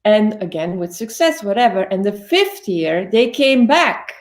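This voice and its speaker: A woman's voice: mid-range voice, very animated, unhurried. A woman, telling her life story as an interview guest in a chatty way, often correcting herself. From Belgium. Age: 50s. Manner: chatty, self-correcting, many "like" fillers.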